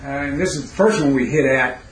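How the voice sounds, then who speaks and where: A man with a raspy, hoarse voice teaching a Bible class, pausing to quiz his students. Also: mid-range voice. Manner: halting, questioning teacher who pauses to quiz the class. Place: classroom, indoors. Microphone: recorder set near the speaker. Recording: roomy classroom recording, faint noise.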